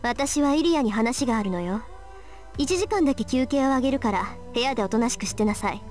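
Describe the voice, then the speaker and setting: A woman playing a bossy, sharp-tongued young female mage in an anime visual novel, brisk and assertive. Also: fairly high pitch, lively intonation, faint music behind, close to an everyday voice. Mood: stern.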